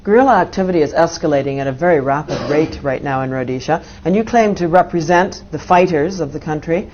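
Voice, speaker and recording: A woman's voice: deep voice, fairly quick, background noise. A woman interviewer putting direct, probing questions in a formal news interview. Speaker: interviewer, journalist. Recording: dull archive interview audio.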